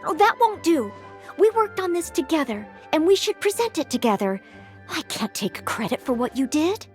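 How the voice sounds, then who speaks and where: High sweetly polite young maid knight girl, game voice, earnest and dutiful, faint music behind. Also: very animated, moderate pace, a little mellow. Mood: polite insistence. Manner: earnest, courteous, precise. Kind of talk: video game character acting.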